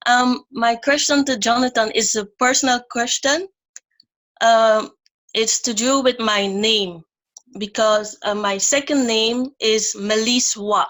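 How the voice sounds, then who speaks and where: A woman from Guyana speaks English with a Dutch-tinged accent, slowly and with frequent "um"s. Her voice sits a bit high. She gropes for words as she asks her question. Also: fairly even intonation, fairly bright tone. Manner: hesitant, restarts with "um". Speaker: caller asking a question. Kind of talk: casual question on a call.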